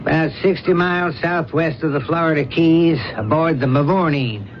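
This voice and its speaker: An actor playing a gruff, blunt middle-aged American father and fishing boat skipper in a radio drama. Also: a little high, moderate pace, a little hiss or hum, lightly acted. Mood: wary. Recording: muffled old radio broadcast.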